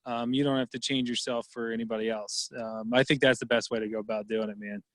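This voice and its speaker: A man's voice: mid-range voice, flat delivery, fast, no room sound. A man in a casual podcast chat, giving advice hesitantly with many ums.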